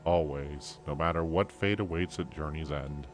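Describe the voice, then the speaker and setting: A man voicing a stoic, solemn fantasy game warrior in a grave, measured, formal manner. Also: very deep voice, faint music behind.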